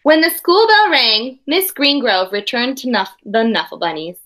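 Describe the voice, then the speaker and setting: A woman's voice: a little high, expressive, moderate pace, sharp tone. A woman reading a picture book aloud to young children like a teacher, expressively, with explanatory asides and questions.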